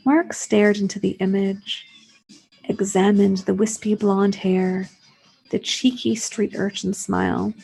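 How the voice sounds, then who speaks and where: A woman's voice: mid-range voice, fairly even intonation, slow pace, creaky, a little mellow, slightly rough, poor audio. A woman reading a literary short story aloud in the first person, as a scripted narration.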